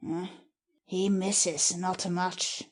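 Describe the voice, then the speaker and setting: A cynical, plotting lord in a Shakespearean drama, read by a woman. The voice is breathy and rough-edged, rather low for a woman, and slow. Each remark is a dry, sardonic jab. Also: flat delivery. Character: mocking, cynical scheming nobleman. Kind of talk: dramatic Shakespeare audiobook reading.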